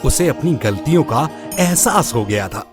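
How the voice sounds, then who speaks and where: A man narrating a scripted moral story in an expressive storytelling style. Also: mid-range voice, a little slow. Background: background music.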